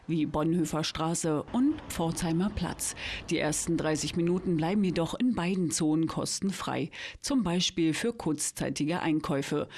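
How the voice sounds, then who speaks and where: News voice-over, mid pitch, brisk, factual. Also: a little mellow. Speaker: news reporter. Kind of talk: scripted news narration.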